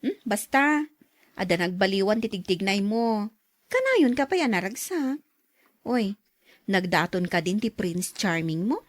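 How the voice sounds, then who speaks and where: A woman playing a nosy, outspoken young friend in an audio drama, with an animated, teasing manner. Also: medium pitch, a little slow, long pauses, no room sound, clean studio sound. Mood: playful prying.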